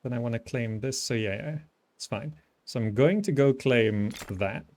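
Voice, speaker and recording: A man's voice: medium pitch, normal speed, a little mellow. A man giving casual live commentary as he streams a strategy game, thinking aloud as he plays. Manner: thinking aloud while playing.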